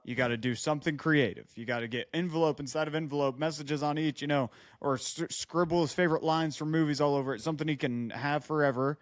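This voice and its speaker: A man's voice: fairly high pitch, fairly even intonation, fast, few pauses, dry recording. A man co-hosting a podcast in casual chat, joking and giving blunt advice. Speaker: podcast co-host.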